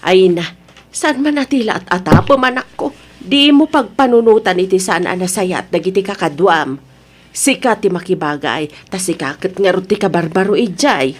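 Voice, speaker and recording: A woman's voice: on the low side, moderate pace, husky. A woman acting a firm, humble middle-aged mother with an Ilocano Filipino accent, scolding and advising in a motherly way in an audio drama. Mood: admonishing.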